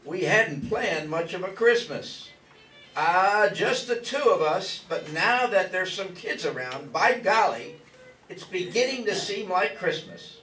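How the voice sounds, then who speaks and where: A man's voice: high-pitched, lively intonation, unhurried, pushed and bright, exaggerated voice. A man playing a kindly, folksy older farmer with grown children in an old-time radio drama. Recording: roomy, distant mic, faint music behind.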